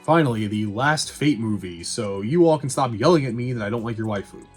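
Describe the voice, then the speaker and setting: A man's voice: medium pitch, lively intonation, fairly quick. A man doing a casual, opinionated review voice-over in a blunt, sweary way with wry asides. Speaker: video essay host reviewing movies. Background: background music.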